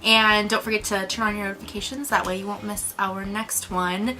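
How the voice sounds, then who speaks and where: A woman co-hosting a casual movie review, speaking in an informal, slangy and expressive way. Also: mid-range voice, brisk, noisy background. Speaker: co-host of a movie review show. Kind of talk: casual conversational film review chat.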